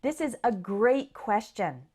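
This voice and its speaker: Animated, high-voiced woman teaching. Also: takes it slowly. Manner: clear, explanatory, addresses learners directly. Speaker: English teacher. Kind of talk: instructional lesson to camera or listeners.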